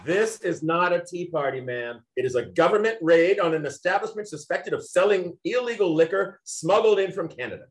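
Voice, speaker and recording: A brusque federal agent from a 1920s stage play, acted out by an amateur player. The delivery is officious and suspicious, with very lively swings in pitch. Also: a little fast, hardly any pauses, lightly acted. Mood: commanding.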